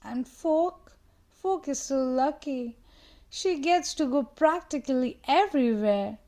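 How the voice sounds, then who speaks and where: A woman's voice: high voice, expressive, takes it slowly, warm tone, pitched above the performer's own voice, full character voice. A woman voicing a small sad spoon, a boy utensil, in a mopey, wistful tone.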